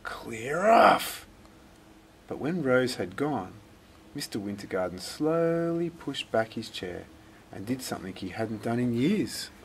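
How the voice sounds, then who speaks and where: A man reading a children's story aloud in a dramatic, deliberate way. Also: medium pitch, very animated, a little hiss or hum. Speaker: storybook reader. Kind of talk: expressive scripted children's story narration.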